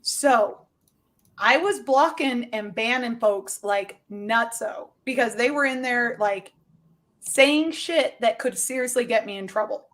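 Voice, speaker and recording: A woman's voice: fairly high pitch, slow pace, fairly bright tone. A woman livestreamer in casual, bantering conversation. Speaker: livestreamer on a group stream.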